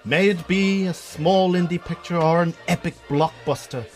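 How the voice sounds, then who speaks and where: A man's voice: high voice, moderate pace, a little mellow, soft background music, natural voice. A man narrating a scripted radio drama in a theatrical storytelling manner.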